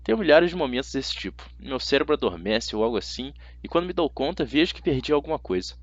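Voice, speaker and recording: A man with a Brazilian accent narrating a first-person story as an amateur audiobook reader, dramatic and expressive. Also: medium pitch, fast, no room sound. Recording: slightly dull, dry audio.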